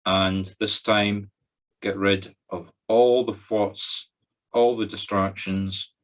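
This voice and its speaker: A Scottish man leading a guided meditation as a spiritual medium, giving instructions in short phrases with pauses between them. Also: on the low side, steady tone. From Scotland.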